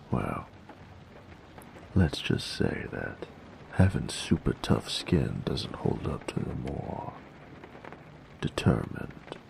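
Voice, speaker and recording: A jaded bandit acted by a man drawls slowly in slangy, folksy speech for a roleplay audio drama. The voice is rock-bottom deep and thick with gravel, sardonic and gruff. There is faint noise behind it. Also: darkish tone, exaggerated voice. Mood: bitter, resigned. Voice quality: gravelly, very low voice. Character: gruff, jaded male bandit who grew up drifting with a carnival.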